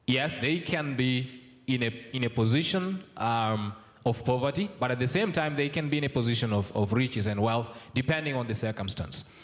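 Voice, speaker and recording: A young man from South Sudan giving a formal conference speech, measured and full of ums and uhs. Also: medium pitch. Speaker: conference speaker. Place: large conference hall. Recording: long hall reverb.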